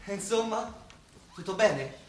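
An excitable Roman working man in a radio drama. His high voice swings widely up and down, rough in language. It is recorded in an echoey space. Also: measured pace. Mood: conciliatory. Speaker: actor. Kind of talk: radio play acting. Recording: echoey room, clear background noise.

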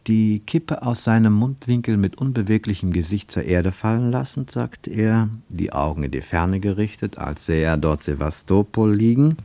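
A man with pronunciation reading literary prose for radio, measured and deliberate, with emphatic phrasing and pauses. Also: on the low side, expressive, mellow, dry recording. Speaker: narrator, reader of literary prose. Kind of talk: scripted literary narration for German radio.

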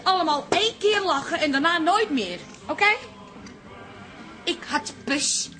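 A woman's voice: very high voice, lively intonation, unhurried, over music. An actress playing a feisty working-class Dutch woman in a radio drama. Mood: wry, bashful. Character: Toos, feisty working-class woman relieved after cancer scare.

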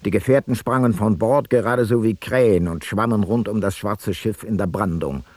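A man playing an ancient Greek seafarer, reciting a ship's logbook in a solemn, measured way for a radio play. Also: on the low side, darkish tone, dry recording, close to an everyday voice. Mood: grave, solemn.

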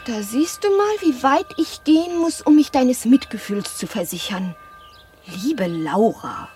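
Capricious young noblewoman, high swooping voice, slow, imperious, radio drama. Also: animated, a little mellow, faint music behind. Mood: languid, relieved. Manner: languid, theatrical, imperious. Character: haughty, capricious, mysterious young lady. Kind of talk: radio play acting.

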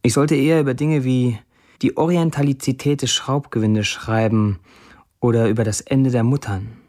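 An actor plays a wry young man with a middle-pitched voice. He delivers a blunt, dry first-person monologue in a matter-of-fact way, as in a radio play. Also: steady tone, normal speed, dark tone, natural voice. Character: wry 29-year-old Syrian man narrating his blunt online posts.

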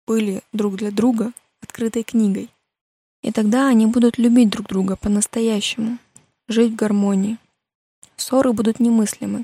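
A woman's voice: mid-range voice, dark tone, slightly rough, no room sound. A woman voicing an earnest, dreamy young idealist in an audiobook, speaking in declarations.

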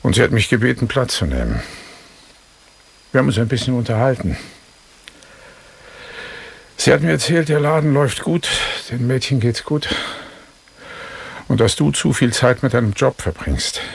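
An actor playing an older, loyal and blunt Los Angeles cop in a radio drama, with a gravelly, husky voice and a wry conversational manner. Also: male voice, mid-range voice, lively intonation, moderate pace, pauses often. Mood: confiding. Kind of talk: German radio drama acting.

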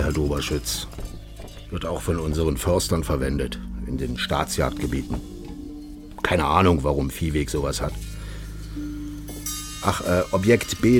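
An actor playing a secretive, commanding East German official in a radio drama, brusque and evasive as he gives instructions. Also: a bass voice.

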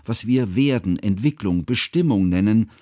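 A man acting an earnest literary narrator with a low voice, recorded very dry and close. He speaks in formal, precise sentences, as in a reflective radio play. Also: expressive, moderate pace, dark tone, no echo, natural voice. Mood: solemn. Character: earnest, bookish male narrator recalling his friend's childhood.

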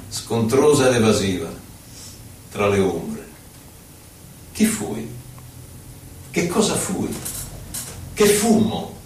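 An actor playing a melancholy, haunted poet who recalls his life in solemn, deliberate theatrical verse with dramatic pauses. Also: mid-range voice, a little hiss or hum. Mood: somber, grieving. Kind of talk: theatrical poetry recitation. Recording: echoey hall from far off, faint music. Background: faint music, violin.

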